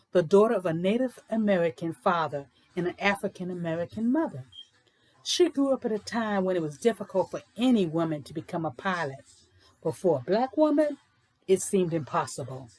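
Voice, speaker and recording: A woman presenting and reading children's books aloud in an informal, explanatory way with deliberate, emphatic phrasing. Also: fairly low pitch, expressive, darkish tone, low-quality recording. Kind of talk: informal book talk and storybook read-aloud.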